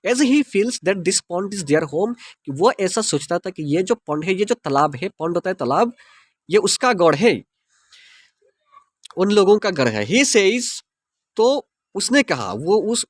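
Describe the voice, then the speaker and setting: A man with an Indian accent reads a moral story aloud to teach English. He spells out words and translates them into Hindi. His voice is high and very animated, recorded dry and close to the mic. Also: no echo. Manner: reads English lines slowly, then explains quickly in Hindi. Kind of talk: reading a story aloud and explaining it word by word.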